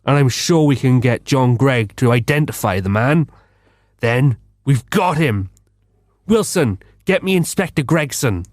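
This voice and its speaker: An actor playing a bumbling, self-important London detective with a pompous, eager manner in a comedic radio drama. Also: male voice, mid-range voice, animated, unhurried, no echo, studio quality, lightly acted. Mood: confident.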